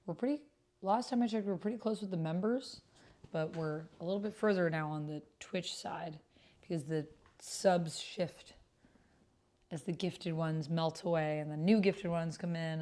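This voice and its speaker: Lower-voiced woman livestreamer, chatty and informal. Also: normal speed, dark tone. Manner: chatty, informal, addresses viewers by name. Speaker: livestream host. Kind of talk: casual chat with livestream viewers.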